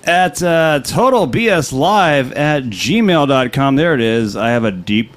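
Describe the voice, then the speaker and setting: A man co-hosting a comedy podcast, crude and sarcastic, swinging from tired mumbling to loud rants. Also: a little high, expressive, unhurried. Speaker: podcast co-host. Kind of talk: casual comedic chat.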